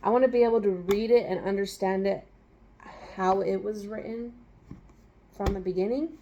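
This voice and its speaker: A woman's voice: mid-range voice, fairly even intonation, normal speed, warm tone, highs cut off. A woman co-hosting a casual chat, thinking aloud with fillers and laughing easily. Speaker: co-host.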